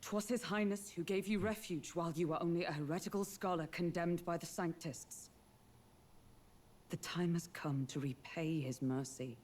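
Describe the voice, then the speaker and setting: A woman voicing a loyal, honor-bound female knight in a video game, speaking formally in archaic, measured phrases. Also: fairly low pitch, slight background noise, natural voice. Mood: solemn, resolute. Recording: loud music behind.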